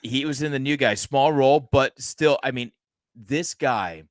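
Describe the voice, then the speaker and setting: A man co-hosting a horror movie podcast, chatting in an unhurried way that keeps tipping into excitement, with laughter and the odd curse. His delivery is slow and drawn out. The sound is dry and close to the mic. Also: medium pitch, no echo. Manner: excitable, laughs often, swears when worked up. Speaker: podcast co-host. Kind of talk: casual chat about a horror movie.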